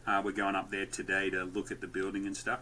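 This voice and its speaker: Loud joke-cracking man with a bright voice. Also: low-pitched, completely flat delivery, quick pace, slight background noise. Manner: loud, joke-telling, rambling. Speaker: podcast guest, entrepreneur. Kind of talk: lively conversational storytelling.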